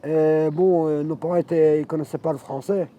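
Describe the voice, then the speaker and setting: Dark-voiced man in his fifties. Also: on the high side, fairly even intonation, muffled recording. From Morocco. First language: Arabic. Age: 50s. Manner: reflective, halting storytelling. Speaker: interviewee. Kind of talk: personal life testimony.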